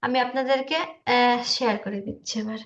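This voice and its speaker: A woman's voice: on the high side, fairly even intonation, a little fast. A woman presenting casually to an online group, with a slightly nervous, hesitant manner. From India. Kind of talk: casual screen-share presentation. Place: online group video call. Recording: echoey, muffled online call.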